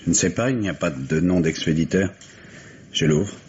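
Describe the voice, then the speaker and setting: A male actor plays a worried, anguished father with a deep voice and halting, dramatic phrasing. It is old French radio mystery drama, heard through a muffled recording with faint noise. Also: darkish tone, close to an everyday voice. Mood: tense, puzzled. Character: anguished father named Griffin, fearful for his daughter.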